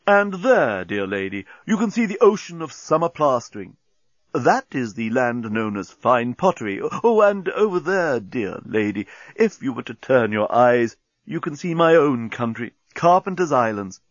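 A man's voice: mid-range voice, big pitch swings, unhurried, dry recording, highs cut off. Man doing a gallant, courtly alien space captain. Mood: proud, enraptured. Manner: courtly, effusive, wistful. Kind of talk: audiobook character voice.

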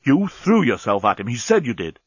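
A man's voice: medium pitch, sing-song, moderate pace, sharp tone, slightly rough, no room sound, highs cut off, heavily acted. A man voicing a jealous, easily cowed boyfriend in an audiobook, plaintive, whingeing and hesitant. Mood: plaintive, accusing. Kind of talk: audiobook character voice.

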